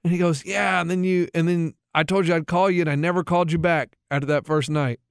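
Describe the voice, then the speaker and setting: A man with a fairly high voice hosts a casual comedy sports podcast. He is excitable, circles back over his phrases and acts out both sides of conversations. The sound is close and very dry. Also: fast, gravelly, clear, resonant tone, no echo. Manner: excitable, repeats himself, acts out dialogue. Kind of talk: casual comic banter and storytelling.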